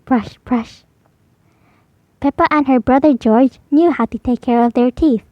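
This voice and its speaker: A woman's voice: high voice, measured pace, no room sound, highs cut off. A woman reading a picture story aloud for young children as scripted narration. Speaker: storybook reader.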